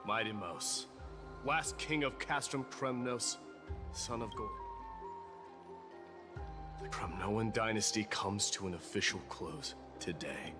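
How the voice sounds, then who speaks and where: A man's voice: on the low side, slow pace, long pauses. An actor playing a proud, solemn warrior king, speaking in a grand, formal, declamatory way for a video game. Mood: grave, commanding. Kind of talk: dramatic game voice acting. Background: loud music.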